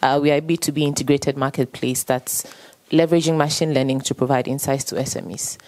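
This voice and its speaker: Low-voiced female founder from Ghana, dry close sound, articulate and persuasive. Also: fairly even intonation, fast talker, a little mellow, dry recording. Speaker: startup founder asking a question. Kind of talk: conference audience question.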